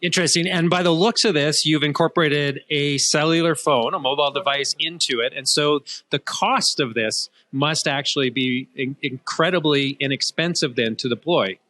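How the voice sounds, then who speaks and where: A man's voice: a little high, moderate pace, hardly any pauses, pushed and bright. A man, hosting a conversational interview at an event. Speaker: interviewer, show host.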